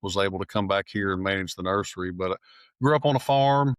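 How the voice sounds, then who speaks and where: A man's voice: low-pitched, fast talker, smooth, no room sound, studio quality. A middle-aged man from East Texas with an East Texas accent, answering interview questions in an easygoing, plainspoken way. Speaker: podcast guest, nursery manager. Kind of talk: casual interview.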